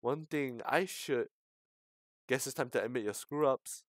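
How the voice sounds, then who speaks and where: A man's voice: medium pitch, a little slow, no echo, studio quality. A man streaming, reading a visual novel aloud with dramatic narration and his own commentary.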